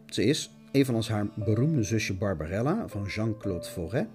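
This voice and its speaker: A man narrating a scripted radio essay in a melodramatic, mock-dramatic, declamatory manner. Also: fairly low pitch.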